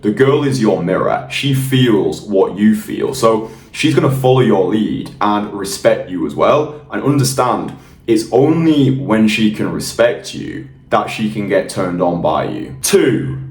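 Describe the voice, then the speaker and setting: A man's voice: lowish voice, very animated, measured pace, faint music behind. A young man coaching in a blunt, emphatic and confident way, swearing freely. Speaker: dating coach. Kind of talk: direct-to-camera coaching monologue. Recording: roomy sound, mic at a distance.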